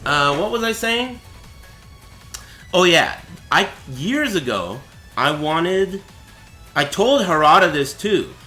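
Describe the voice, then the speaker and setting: Man, raspy cracking voice, chatty teaching tone, keeps asking "right?", roomy echo, music underneath. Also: high-pitched, sing-song, slow pace, long pauses, bright, forceful tone. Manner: chatty, explanatory, asks "right?" often. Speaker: streamer teaching a fighting game. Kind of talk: casual live-stream tutorial.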